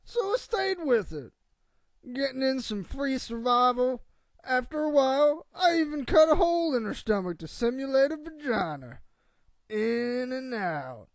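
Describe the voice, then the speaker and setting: An actor playing the world's oldest man in a comedic radio play, crude, boastful and cantankerous, rambling in a folksy, gravelly voice. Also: mid-range voice, dry recording, clean studio sound, heavily acted. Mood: nostalgic, unbothered. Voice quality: creaky, gravelly old-timer voice. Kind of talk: comedic radio theatre interview.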